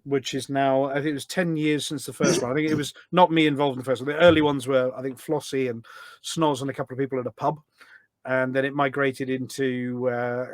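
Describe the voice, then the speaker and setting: A man with a middling pitch talks casually as a podcast guest. He is chatty and a bit rambling as he tells anecdotes. The recording is very dry, with hardly any room sound. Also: no room sound. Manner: chatty and rambling, acts out quoted remarks. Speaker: podcast guest and community radio show presenter. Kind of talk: casual chat, telling anecdotes.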